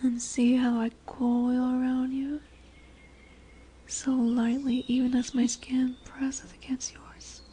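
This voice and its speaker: A woman's voice: high-pitched, monotone, takes it slowly, mellow, husky, heavily acted. A woman voicing a large lamia, a seductive serpent woman who is commanding yet soothing, in a formal, hypnotic, coaxing manner for a roleplay audio drama. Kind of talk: roleplay audio drama with soft whispered passages. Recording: noisy woodland ambience with birdsong. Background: birdsong and forest ambience.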